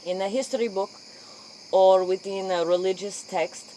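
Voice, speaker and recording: A woman's voice: mid-range voice, steady tone, normal speed, dark tone, close to an everyday voice, rough recording. Woman acting as curious late-night interviewer, broken English, earnest rambling questions, spoof role play, light insect noise. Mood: pondering, earnest. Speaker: interview host.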